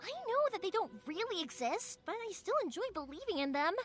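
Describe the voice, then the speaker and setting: A bubbly young woman fireworks maker from a video game. Her voice is high and swoops freely with casual, warmhearted chatter. Music plays softly behind her. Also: sing-song, fairly quick. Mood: earnest, thoughtful. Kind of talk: animated video game voice acting. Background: background music.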